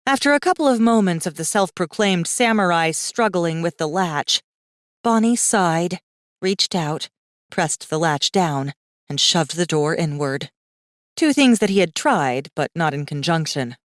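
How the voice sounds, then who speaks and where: A woman narrating a fiction audiobook in an expressive, scripted storytelling style. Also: lowish voice, unhurried, dry recording, studio quality.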